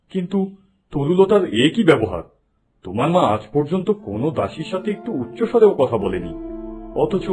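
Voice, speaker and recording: A man voicing a dignified, doting king and father in an animated fairy tale, benevolent and stately. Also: on the high side.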